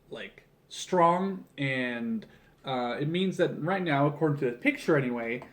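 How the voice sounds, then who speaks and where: A man in his early twenties chatting casually in a rambling vlog style, informal and often interrupting himself with jokey asides. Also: on the high side. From Canada. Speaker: video creator building a toy model. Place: small room at home, seated at a table indoors. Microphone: camera on tripod about a metre or two away.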